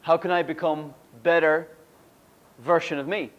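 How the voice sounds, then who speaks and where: A man giving a motivational workshop speech in an emphatic, earnest manner, deliberately repeating key lines. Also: a little high, fairly even intonation, lo-fi sound. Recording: music behind the voice.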